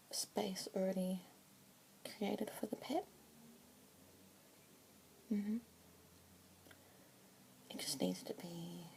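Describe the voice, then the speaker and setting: A young woman with an Australian accent whispering softly and gently as a pet adoption consultant in an ASMR roleplay. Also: mid-range voice. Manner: soft whispering, gentle and unhurried. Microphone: close sensitive ASMR mic. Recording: noisy hiss behind close whisper.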